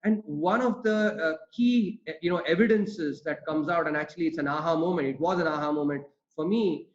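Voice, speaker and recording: A man's voice: on the high side, a little fast. Earnest man giving a lo-fi webinar talk. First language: Tamil. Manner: earnest, lecturing, frequent fillers and restarts. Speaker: webinar speaker on economics. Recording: lo-fi online call audio.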